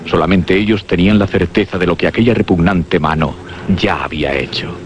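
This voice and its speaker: Deep male voice, an actor narrating, grave, deliberate, theatrical, horror radio drama, faint hiss and dull sound. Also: natural voice. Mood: grave suspense. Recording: dull, noisy old radio drama recording.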